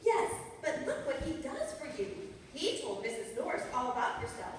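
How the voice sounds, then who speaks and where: A woman's voice: sits high, sing-song, normal speed. A woman playing a scatterbrained, naive wife who muddles her words in a staged old-time radio comedy. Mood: stubborn. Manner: naive, earnest, spells words out letter by letter. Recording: echoey hall from far off, noisy.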